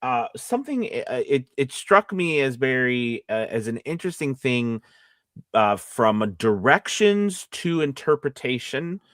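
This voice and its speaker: A man co-hosting a casual livestream as a voice actor, talking in a rambling, halting way and often correcting himself. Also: mid-range voice, big pitch swings, a bit bright, no echo, clean studio sound.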